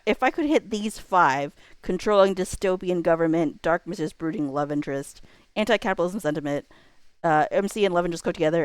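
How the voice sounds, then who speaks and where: A woman with a fairly low voice hosts a casual livestream, thinking out loud as she goes. She rambles informally and cuts into her own sentences. The sound is dry, with very little room on it. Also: clear, resonant tone, dry recording. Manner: rambling, self-interrupting, informal. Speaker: livestream host.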